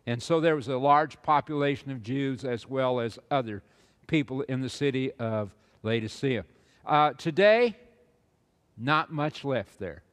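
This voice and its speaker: An older man lecturing on stage as a preacher to an audience, slow and rambling, with many "uh"s and repeated words. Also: mid-range voice, expressive, a bit bright, smooth, big-room sound. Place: large auditorium stage with audience.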